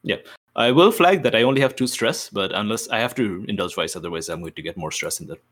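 A man's voice: fairly low pitch, lively intonation, quick pace, a bit bright. A man chatting casually as a player in a tabletop roleplaying game, thinking aloud hesitantly as he decides.

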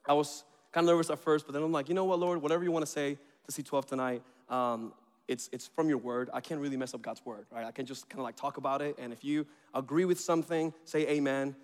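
A man in his thirties from Venezuela, whose first language is Spanish, preaching in a chatty, joking way with bursts of excitement. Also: mid-range voice, fast, clean tone. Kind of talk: informal sermon introduction. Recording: reverberant hall.

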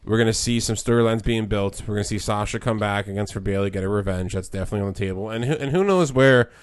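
A man co-hosts a casual podcast, rambling and restarting his sentences as he goes. His voice sits low, and the sound is dry and close with no room around it. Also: fast, dry recording. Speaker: podcast co-host. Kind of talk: casual wrestling chat.

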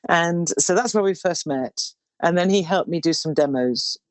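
Low-voiced woman in her sixties. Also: moderate pace, smooth, no room sound. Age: 60s. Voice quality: low baritone voice. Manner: rambling, warm storytelling with restarts. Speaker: singer. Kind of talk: relaxed interview chat.

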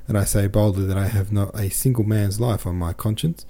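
A man's voice: fairly low pitch, fairly even intonation, fairly quick, warm tone, lightly acted. A man reading an indignant, principled cavalry officer in a storybook, emphatic and stubborn.

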